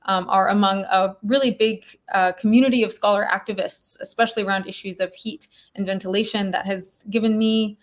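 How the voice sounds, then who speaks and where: A woman's voice: mid-range voice, steady tone, a little fast, few pauses. A woman speaking as a scholarly guest in a conversational podcast interview, with a thoughtful, hesitant delivery.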